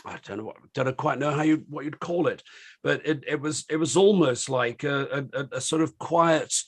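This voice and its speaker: Croaky British man hosting a panel. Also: on the high side, normal speed. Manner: full of ums and uhs and restarts. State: slightly croaky voice. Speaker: host of an online panel discussion. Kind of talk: hesitant, rambling opening remarks to a panel.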